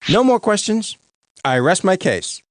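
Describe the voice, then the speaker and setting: A man's voice: sits high, very animated, a little slow, no room sound, clean studio sound. A man voicing a pompous cartoon prosecutor who questions in a dramatic, grandiose way. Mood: self-important. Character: pompous, theatrical male prosecuting lawyer. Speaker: lawyer character. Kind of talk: animated courtroom cartoon voice acting.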